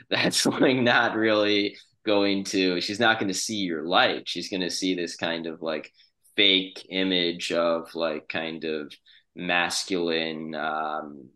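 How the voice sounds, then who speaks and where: A man chatting casually on a podcast, thinking aloud in a discursive way with drawn-out phrases and pauses. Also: low voice, bright, forceful tone.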